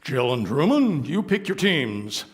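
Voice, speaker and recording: A man doing a brisk school teacher. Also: medium pitch, very animated. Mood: firm.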